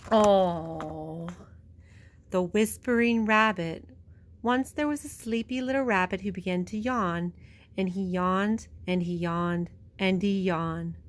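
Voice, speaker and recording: A woman's voice: mid-range voice, takes it slowly. A woman with a mid-range voice reads a picture book aloud for young children. She tells it expressively, stretching out phrases in a bedtime-story style.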